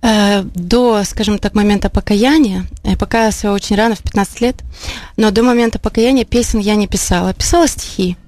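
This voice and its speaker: A woman from Ukraine, talking as an interview guest in a halting, reflective, conversational way. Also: medium pitch, a little mellow, dry recording. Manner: halting, reflective, frequent filler phrases. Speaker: interview guest, singer-songwriter. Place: radio studio.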